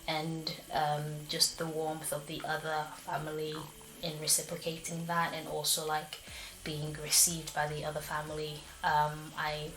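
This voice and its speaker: A woman's voice: deep voice, completely flat delivery, a little slow, fairly bright tone. A young woman from Malawi who grew up partly in England, giving reflective, hesitant interview answers. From born in Malawi, grew up there and in Manchester, England. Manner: hesitant, tentative phrasing. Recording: echoey room.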